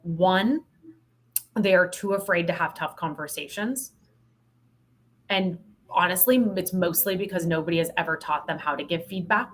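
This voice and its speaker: Podcast guest: woman, slightly low-pitched, candid and emphatic, conversational delivery with plenty of expression. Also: normal speed, long pauses. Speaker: podcast guest, sales leadership expert. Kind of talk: conversational interview answer.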